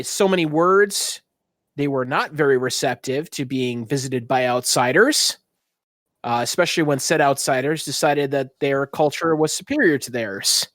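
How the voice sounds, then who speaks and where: A man's voice: mid-range voice, a bit bright, no echo, studio quality. A man working as a game master, narrating an improvised tabletop story in a casual, colloquial way with joking banter. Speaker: game master and show host.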